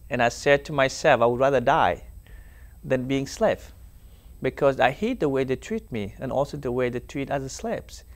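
Earnest young man, Dinka native speaker. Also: medium pitch. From South Sudan. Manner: earnest, halting, with many fillers. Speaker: interview guest. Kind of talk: personal testimony in a TV interview. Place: TV studio set. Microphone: lapel mic.

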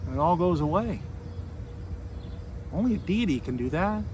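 Male American pastor, forties, high voice, conversational sermon delivery with pauses, recorded outside over noticeable ambient noise. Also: expressive. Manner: earnest, conversational, with pauses and rhetorical questions. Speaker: Lutheran pastor. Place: outdoors beside a fallen tree near a street. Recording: outdoor noise, insects and vehicles.

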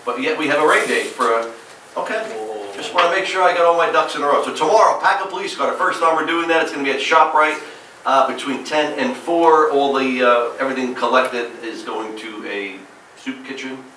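Mayor with a New Jersey accent reporting at a council meeting, bright forceful voice, heard from across an echoey chamber. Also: male voice, medium pitch, a little fast, far from the mic, a little hiss or hum. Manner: upbeat, listing events with frequent "uh" fillers. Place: large council chamber, indoors.

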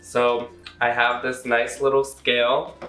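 A young American man hosts a casual home cooking video at an easy, slow pace. His voice is bright and medium-pitched, with playful asides, and the kitchen around him sounds echoey. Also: completely flat delivery, faint music behind. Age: young adult. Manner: playful, self-deprecating asides. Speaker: cooking show host. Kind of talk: casual recipe demonstration. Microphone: camera mic a few feet away. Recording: roomy kitchen echo from camera distance.

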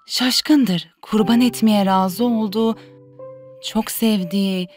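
A woman voicing a young mother who gently tells her children a religious story in a cartoon dub. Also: fairly high pitch, lightly acted.

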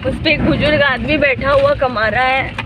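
High-voiced woman, noisy recording. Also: steady tone, normal speed, a crowd in the background. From Jaipur, India. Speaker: family vlogger. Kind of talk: casual chat to viewers. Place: in a moving vehicle at night. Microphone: handheld phone. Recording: loud vehicle and street noise.

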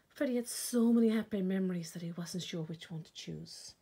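An Irish woman reading a children's picture book aloud expressively, with dramatic pauses and emphasis. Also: fairly low pitch, mellow, slightly rough. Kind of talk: storytime read-aloud narration.

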